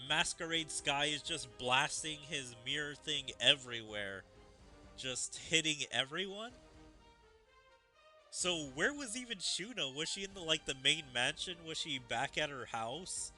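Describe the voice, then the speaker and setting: Bright-voiced male streamer musing over a game. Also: fairly high pitch, animated, takes it slowly. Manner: musing, casual with many "like" fillers. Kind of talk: gameplay commentary. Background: game music.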